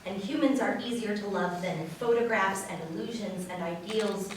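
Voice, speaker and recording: A woman recites romantic verse in a reader's theater style. Her voice is mid-pitched and comes from off in a very echoey room, with faint noise. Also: normal speed. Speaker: wedding reader. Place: large echoey room at a wedding. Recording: echoey hall, distant mic, faint noise.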